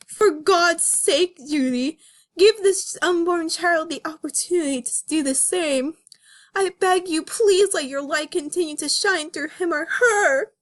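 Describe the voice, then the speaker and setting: A woman's voice: very high-pitched, unhurried, pitched above the performer's own voice. Woman putting on a solemn, wounded male cartoon fox. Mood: desperate pleading. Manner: solemn, reproachful, theatrical. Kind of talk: melodramatic comic dramatic reading.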